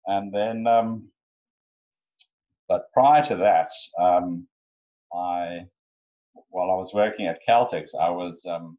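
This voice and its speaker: A man's voice: deep voice, slow pace, long pauses. A middle-aged man with a South African accent and an Afrikaans mother tongue, telling his life story as an interview guest, hesitant with many ums. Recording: dull lo-fi audio.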